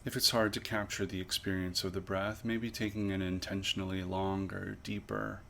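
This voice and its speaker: A man leading a guided body scan meditation as an instructor, with measured, pausing, gently instructive phrasing. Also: deep voice, level tone, vocal fry, a little hiss or hum.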